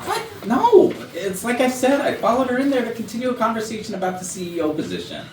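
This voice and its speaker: Man playing defensive male office blowhard, emphatic, very animated pitch, far from mic, mystery comedy reading. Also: fast, heavily acted. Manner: indignant, self-justifying, emphatic. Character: defensive, blustering male office executive under suspicion. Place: rehearsal room for a staged reading. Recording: echoey room, distant mic, background noise.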